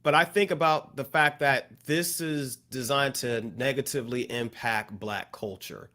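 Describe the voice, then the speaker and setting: A man's voice: mid-range voice, measured pace, bright, forceful tone. A man co-hosting a podcast, talking things through in a thoughtful, loose way with many fillers and restarts. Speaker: podcast co-host. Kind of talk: casual discussion.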